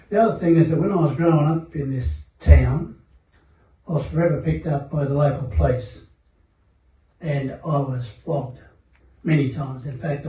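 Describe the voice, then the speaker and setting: Older Australian man, dark warm tone, slightly raised pitch, distant mic in an echoey hall; measured panel testimony. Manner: measured, reflective, many fillers. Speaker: panel guest. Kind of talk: personal testimony at a seminar panel. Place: seminar hall.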